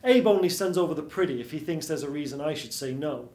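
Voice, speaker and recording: A man's voice: on the high side, animated, fast talker, a little mellow, close to an everyday voice. A man voicing a bitter, washed-up old mouse film star, world-weary and hard-boiled in noir style. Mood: bitter, wary. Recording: roomy stage sound.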